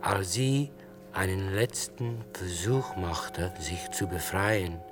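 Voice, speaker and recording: An actor playing a tender, furtive lover narrating a seduction in literary radio play style. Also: male voice, low-pitched, takes it slowly, music in the background. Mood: tender, intimate.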